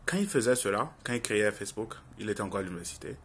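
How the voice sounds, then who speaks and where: A man's voice: lowish voice, animated, very fast, a bit bright, a little hiss or hum. A young man with a Francophone African accent giving an informal opinion monologue to viewers, speaking earnestly and insistently and repeating phrases for emphasis. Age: young adult. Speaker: online video commentator.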